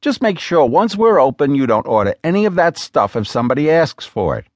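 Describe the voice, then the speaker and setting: Exasperated sharp-tongued woman partner, done by a man, raised pitch, sarcastic and scolding, swooping emphasis, dry studio sound. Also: very animated, brisk, dry recording. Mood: insistent.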